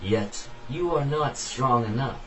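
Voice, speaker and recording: A man's voice: medium pitch, lively intonation, slow, a little hiss or hum, voice pushed up. A man voicing an ominous, commanding spirit voice of destiny in a storybook reading. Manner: commanding, portentous. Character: ominous disembodied dark voice of destiny. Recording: echoey room.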